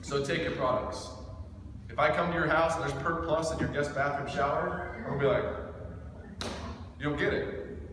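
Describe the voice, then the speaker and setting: A man's voice: a little high, steady tone, normal speed, pauses often. A man in his thirties gives an informal motivational training talk to a group, speaking intensely and directly with emphatic rhetorical questions. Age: thirty-seven. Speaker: business team trainer. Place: echoing indoor room with a small group. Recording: echoey room recorded from far off, faint noise.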